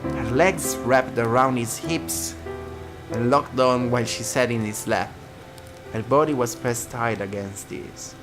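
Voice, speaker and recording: A man's voice: medium pitch, a little slow. A man reading a visual novel aloud as a let's player, narrating dramatically and dropping into casual asides. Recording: guitar music behind.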